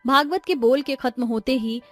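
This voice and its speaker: A woman narrating a scripted moral story in an expressive, dramatic storybook style. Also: high-pitched, normal speed, over music.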